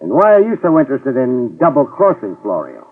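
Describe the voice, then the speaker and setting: An actor in a 1950s radio detective drama playing a tough, wisecracking middle-aged private eye who narrates in dry hard-boiled style. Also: male voice, medium pitch, huge pitch swings, brisk, husky, heavily acted. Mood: probing. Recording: tinny lo-fi 1950s radio with music cues.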